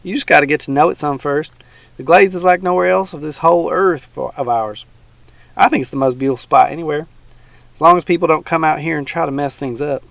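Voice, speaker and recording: A man voicing a gruff, menacing old male swamp hermit with a folksy backwoods drawl. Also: fairly high pitch, brisk, a bit bright, dry recording, voice pushed up.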